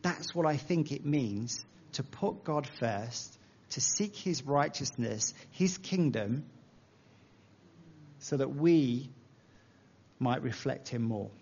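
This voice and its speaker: A man's voice: medium pitch, lively intonation, takes it slowly, long pauses, darkish tone, breathy edge, muffled recording. A man with an English accent preaching a sermon in a conversational, self-deprecating way, using everyday analogies.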